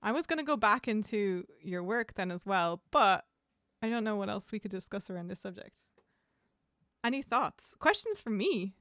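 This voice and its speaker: A woman hosting a casual livestream interview, chatty and animated, with frequent laughs and fillers. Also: medium pitch, moderate pace, no room sound, studio quality.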